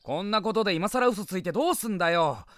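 A woman's voice: medium pitch, animated, fast talker, fairly bright tone, slight background noise, natural voice. A woman voicing an impatient, blunt young village lad who exclaims and scolds in an audio drama. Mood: excited, exasperated. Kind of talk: Japanese audio drama acting.

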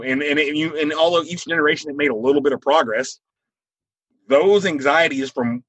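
A man's voice: medium pitch, a bit bright, slightly rough, no room sound, low-quality recording. A man in his forties with an American accent, talking casually and thinking aloud with repeated phrases. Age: 40s. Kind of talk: casual conversation, opinionated.

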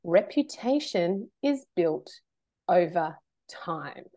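Lowish woman, emphatic podcast guest. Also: extremely slow. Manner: animated, emphatic, laughs between points. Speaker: guest, marketing consultant. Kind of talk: casual interview chat.

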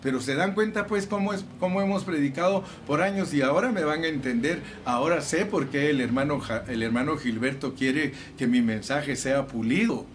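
A man's voice: fairly high pitch, moderate pace, few pauses, a little hiss or hum. A man preaching a sermon with fervor, calling his listeners brothers and reading scripture aloud. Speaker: pastor.

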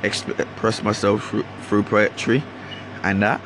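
A man's voice: on the low side, level tone, measured pace, noisy background. A man from Guyana with a London accent that keeps Caribbean traces, talking casually and rambling as he hosts his own podcast. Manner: rambling, slangy, restarts often. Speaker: podcast host. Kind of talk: casual solo monologue.

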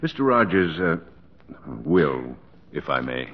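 A man acting as a gracious, earnest, professorial American president with formal, measured speech in an old radio drama. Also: very deep voice, sing-song, heavily acted. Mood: earnest praise. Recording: dull noisy vintage radio recording.

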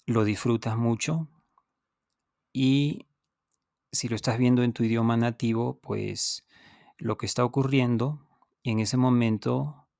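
A man who is a native Spanish speaker hosts an instructional podcast as a teacher, explaining deliberately with pauses and repetition and speaking directly to the listener. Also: mid-range voice, vocal fry, a little mellow, no room sound, clean studio sound. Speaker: podcast host and language teacher. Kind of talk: unscripted instructional podcast monologue.

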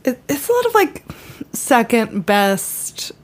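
A woman's voice: on the high side, sing-song, slow pace. A woman chatting on a podcast in a hesitant way, with plenty of restarts and fillers. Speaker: podcast co-host. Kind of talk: casual chat.

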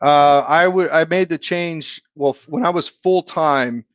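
An earnest man in his thirties talks through his career in a relaxed interview, reflective and often restarting his sentences. The sound is dry and a little dull, with almost no room around the voice. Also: on the high side, measured pace, no echo. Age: 30s. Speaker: insurance agency owner, guest. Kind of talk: casual business interview.

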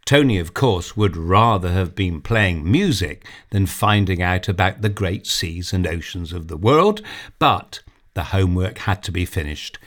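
Male narrator for a children's audio story: unhurried, lively in pitch, expressive and measured. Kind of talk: storybook reading.

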